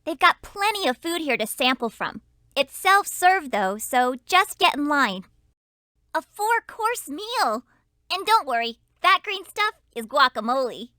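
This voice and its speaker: A woman playing a brisk, encouraging young spy girl in an educational cartoon game. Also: sits high, sing-song, sharp tone, no echo, clean studio sound, heavily acted. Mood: helpful.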